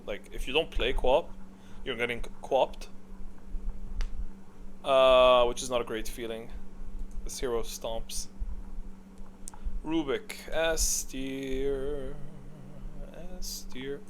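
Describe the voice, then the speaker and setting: A man's voice: mid-range voice, fairly even intonation, slow, long pauses, a little hiss or hum. A man giving casual, opinionated tier-list commentary, thinking aloud in a rambling way with gamer slang. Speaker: gaming video creator.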